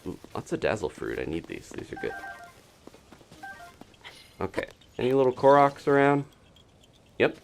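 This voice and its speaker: A man streaming a video game, giving casual live commentary and chatting as he thinks out loud. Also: medium pitch, wildly swooping pitch, normal speed, gravelly, pauses often, faint music behind.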